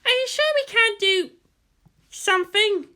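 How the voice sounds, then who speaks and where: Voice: very high-pitched, measured pace, sharp tone, voice pushed up, heavily acted. A narrator voicing a bored, irritable teenage girl pilot, whining and groaning.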